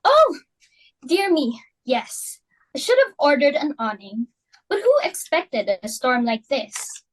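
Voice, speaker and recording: A young woman reading the part of an excitable, fashionable society matron hosting a dinner party, fussy and emphatic. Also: high-pitched, full character voice, rough recording. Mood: fussing. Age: young adult. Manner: fussy, emphatic, quick to exclaim. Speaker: reader for Mrs. Pringle. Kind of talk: student dramatic reading.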